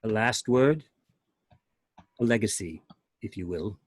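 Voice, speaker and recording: Male actor as failing android, slow halting delivery, cold calm, close dry sound, sci-fi table read. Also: on the low side, dry recording. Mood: cold, measured. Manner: slow, deliberate, broken phrasing. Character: dying android science officer, cold, eerily calm, admiring. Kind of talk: amateur screenplay table read.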